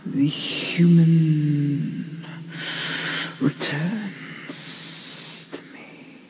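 A woman voicing a gentle, ancient female dragon teacher in an ASMR roleplay, speaking in a breathy, solemn, formal way. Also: low voice, background noise. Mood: solemn, curious. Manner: very slow, solemn, measured, formal.

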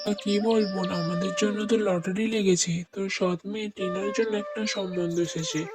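A man's voice: high-pitched, steady tone, brisk, over music, close to an everyday voice. A man voicing a greedy, scheming middle-aged uncle in a cartoon, conniving and gleeful. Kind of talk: cartoon moral-story voice acting.

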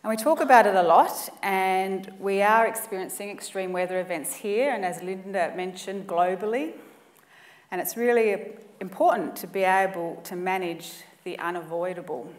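A woman's voice: mid-range voice, moderate pace, recorded from a distance. A woman in her forties with an Australian accent, giving an emphatic, earnest presentation at a conference lectern. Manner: earnest, emphatic, builds lists for effect. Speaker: conference speaker. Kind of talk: presentation talk. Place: conference room or lecture hall, indoors. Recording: echoey hall, mic set back.

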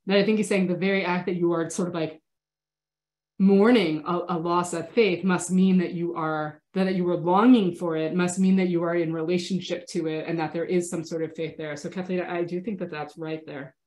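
A woman in her thirties with a lowish voice and a stuffy, sniffly cold teaches a class over a laptop webcam from her home study. She talks thoughtfully and casually, often restarting her sentences. The audio sounds roomy. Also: steady tone, normal speed. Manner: thoughtful, hesitant restarts, warm engagement with participants. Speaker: rabbi teaching an online class. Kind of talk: casual online lecture answering chat questions. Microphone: laptop webcam video call.

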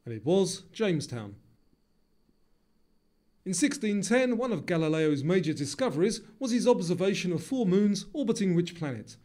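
A man reading scripted quiz questions and answers in a measured, formal way. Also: sits high, sing-song, dark tone. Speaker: quiz narrator. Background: background music.

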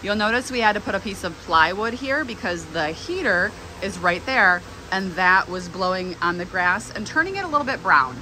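Chatty, bright-voiced woman with fan noise. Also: mid-range voice, moderate pace, few pauses. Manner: chatty, enthusiastic. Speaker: plant nursery owner and vlog host. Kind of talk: casual hand-held vlog tour. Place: inside a greenhouse with running fans. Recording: noisy fans, handheld camera audio. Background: steady fan noise.

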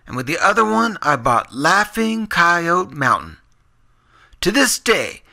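An eccentric mountain lab director, acted out by a man, speaks in a high-pitched voice with a backwoods twang. He is folksy yet earnest about his science, like a storybook woodsman. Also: sharp tone, full character voice. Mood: folksy storytelling. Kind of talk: audiobook character reading.

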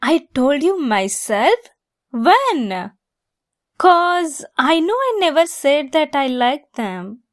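A woman's voice: sits high, sing-song, slow, dry recording, studio quality, full character voice. A woman acting a giggly, teasing young woman fond of a longtime friend, in a romantic ASMR roleplay. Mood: amused, flustered.

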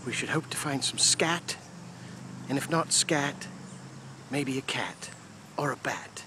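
A man plays nature show host outdoors, drawing his words out slowly and theatrically, at times hushed, often repeating phrases. It is a phone recording with clear insect noise around him. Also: medium pitch. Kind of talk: playful mock wildlife documentary narration. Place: outdoors in a grassy yard by trees. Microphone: handheld phone camera, speaker behind it.

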